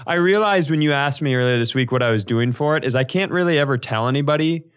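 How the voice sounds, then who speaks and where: A man's voice: medium pitch, expressive, quick pace, smooth. A man co-hosting a comedy podcast in casual, crude, teasing banter.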